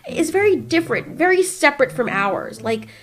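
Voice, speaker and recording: A woman voicing a nervous, formal female kaiju spokesperson giving a speech in an emphatic, deliberate way. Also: high voice, very animated, voice pushed up. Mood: explaining.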